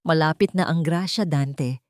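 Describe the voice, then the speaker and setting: A woman's voice: sits low, unhurried, darkish tone, no echo, clean studio sound. A woman voicing a greedy, mocking young fiancée in an audio love story, gloating and sugary. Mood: smug, excited. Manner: gloating, sugary when deceiving. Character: greedy, scheming young gold-digger fiancée, smug and mocking.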